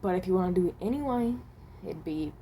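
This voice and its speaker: A woman's voice: lowish voice, lively intonation, fast talker, mellow, slight background noise. A woman playing a guarded, awkward animatronic possessed by someone who died at seventeen, in a hesitant, rambling amateur monologue. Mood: reluctant, guarded. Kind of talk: amateur character voice acting, improvised monologue.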